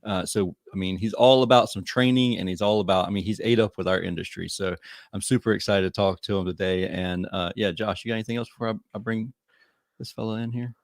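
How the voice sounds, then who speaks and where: A male podcast host with a low, gravelly voice. He talks in a relaxed, joking way, and the sound is dry and close. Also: fast, dry recording. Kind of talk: casual interview chat.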